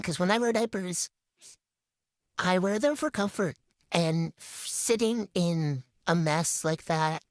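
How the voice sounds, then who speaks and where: A young woman talks through viewer questions in a relaxed, candid way, filling her pauses with ums and likes. Her voice sits on the low side but swings widely up and down. The sound is dry and close, with no room echo. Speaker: channel host. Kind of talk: casual Q&A vlog, reading questions aloud then answering.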